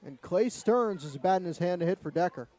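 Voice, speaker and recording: A man's voice: sits high, expressive, fairly quick, dark tone. A man giving live baseball commentary as part of a broadcast booth.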